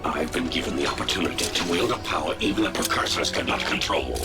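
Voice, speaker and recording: A man's voice: rumbling low, quick pace, loud music behind the voice. A man playing a megalomaniac cyborg villain in a video game, sneering and gloating with theatrical menace. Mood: menacing, grandiose.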